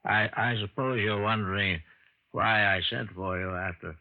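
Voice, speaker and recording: An actor voicing a frail, stern old bedridden grandfather in a 1940s radio drama, speaking in a gravelly, halting way. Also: fairly low pitch, steady tone, natural voice. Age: older. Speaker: dying grandfather. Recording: tinny vintage radio broadcast.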